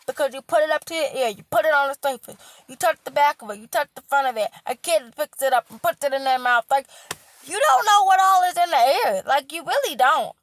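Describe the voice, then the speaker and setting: A young woman who works in healthcare, hoarse with a cracking voice, lecturing bluntly, repeating herself and swearing for emphasis. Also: high-pitched, expressive, fairly quick, no echo. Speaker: healthcare worker. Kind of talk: casual vlog rant.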